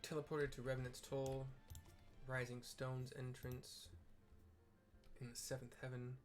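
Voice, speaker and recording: A man hosting a gaming video, describing scenes in a casual, offhand and halting colloquial way. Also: medium pitch, flat delivery, faint music behind.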